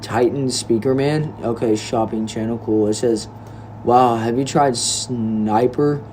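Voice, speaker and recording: A man's voice: mid-range voice, fairly even intonation, a little slow, darkish tone, slight background noise. Laid-back young man streaming. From Texas, United States. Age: young adult. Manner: laid-back, chatty, addresses viewers as bro and y'all. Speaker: livestreamer reading chat. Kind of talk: casual livestream chat. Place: indoor room.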